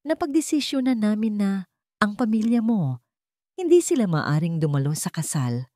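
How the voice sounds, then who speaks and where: A woman's voice: medium pitch, normal speed, no echo, clean studio sound, voice pushed up, close to an everyday voice. A woman voicing a haughty, status-obsessed wealthy mother-in-law in a narrated story, stern and emphatic. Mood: cold, commanding. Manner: calm, emotionless, stern, emphatic delivery. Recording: music behind the voice.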